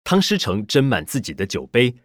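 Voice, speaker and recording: A man's voice: medium pitch, huge pitch swings, normal speed, dry recording, studio quality. A man reading a novel aloud as a scripted audiobook narration.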